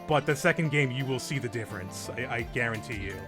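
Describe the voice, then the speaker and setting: A man's voice: mid-range voice, fast, over music. A man hosting a group let's play, casually commenting and patiently explaining the game's mechanics.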